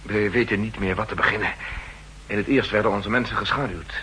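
An actor playing a worried, earnest official seeking help, speaking formally and tensely in a 1960s radio drama. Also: male voice, fairly low pitch, fairly quick, sharp tone, slightly rough, full character voice. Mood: anxious, earnest. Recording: noisy, humming old radio recording.

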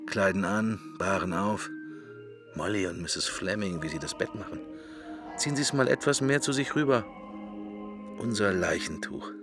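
Low-voiced pensive man, music behind. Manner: clipped, musing fragments. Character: Mister Bloom, pensive middle-aged man, wry inner musings. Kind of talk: radio drama interior monologue.

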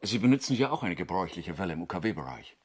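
An actor playing a practical, cautious crew leader on a moon station in a science fiction radio drama, deliberate and businesslike. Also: male voice, low-pitched, sing-song, slight background noise. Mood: sober.

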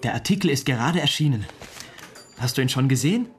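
A man's voice: medium pitch, expressive, fast talker, slight background noise, natural voice. A man playing a cocky, eager young cub reporter in a German radio drama, boastful and insistent. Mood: eager, proud.

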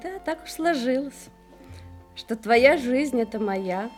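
An actress playing a middle-aged army officer's wife, cheerful and sentimental, reminiscing expressively in a Soviet radio play. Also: female voice, high voice, lively intonation, slow pace, music in the background. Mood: wistful.

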